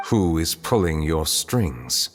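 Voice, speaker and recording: An actor playing a composed, solemn chief justice in a video game, speaking formally and with measured authority. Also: male voice, very deep voice, very animated, a little hiss or hum, exaggerated voice. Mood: calm, firm. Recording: reverberant hall, music underneath.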